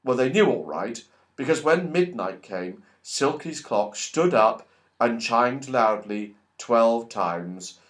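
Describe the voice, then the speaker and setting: A man reading a children's story aloud as an audiobook narrator, expressive and animated. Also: mid-range voice, slow pace. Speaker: audiobook reader.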